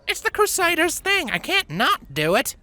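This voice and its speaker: A woman voicing a friendly, sensible young country pony filly with a drawl and a deadpan streak for a story. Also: very high-pitched, moderate pace, no echo, voice pushed up, exaggerated voice. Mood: skeptical.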